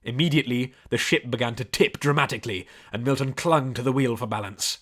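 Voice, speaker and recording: A man's voice: medium pitch, fairly bright tone. Man narrating an adventure audiobook. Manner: measured storybook delivery. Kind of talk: scripted story narration.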